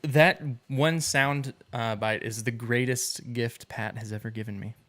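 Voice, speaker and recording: A man hosting a gaming podcast in casual chat, his voice hoarse from a night of coughing, chatty and quick to laugh. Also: mid-range voice, steady tone, a bit bright. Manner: chatty, laughs often, rambling. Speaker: podcast host.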